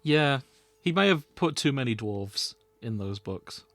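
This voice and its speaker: A man's voice: medium pitch, lively intonation, a little slow, no room sound. A man hosting a podcast with casual, comedic banter. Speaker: podcast host.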